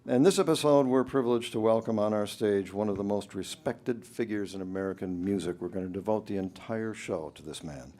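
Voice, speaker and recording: A man hosting a radio show, introducing a live concert from a club stage as an upbeat emcee who pauses between phrases. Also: on the low side, warm tone.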